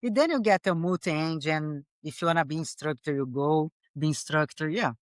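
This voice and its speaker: A woman's voice: low-pitched, moderate pace, dry recording. Brazilian woman pilot, dry sound. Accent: Brazilian Portuguese. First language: Portuguese. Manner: earnest, non-native phrasing, laughs often. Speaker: commercial pilot guest. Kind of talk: casual interview conversation.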